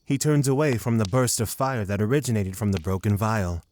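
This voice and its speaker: A man's voice: fairly low pitch, brisk, a little mellow, slight background noise. A man narrating a scripted fantasy audio drama.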